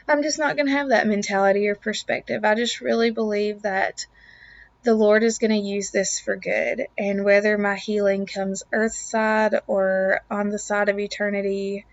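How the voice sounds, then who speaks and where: A young woman with a raspy voice and a lingering cough, talking in an upbeat, earnest way as a casual vlog voiceover about home and family. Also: mid-range voice, steady tone, moderate pace, muffled recording. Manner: upbeat, earnest, faith-filled. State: lingering cough, throat clearing. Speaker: lifestyle vlogger, mom of two.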